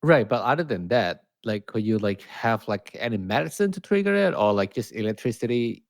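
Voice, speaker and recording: A man's voice: lowish voice, very animated, a little fast, vocal fry, dry recording, studio quality. A young man from Malaysia with a Malaysian accent, a podcast producer chatting playfully and filling his speech with "like". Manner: playful, chatty, many fillers. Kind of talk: casual podcast chat.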